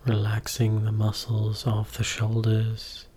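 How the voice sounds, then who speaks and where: Slow, low-voiced man guiding meditation. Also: completely flat delivery, breathy edge. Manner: gentle, measured instruction in short phrases with pauses. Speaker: meditation teacher. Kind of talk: guided meditation.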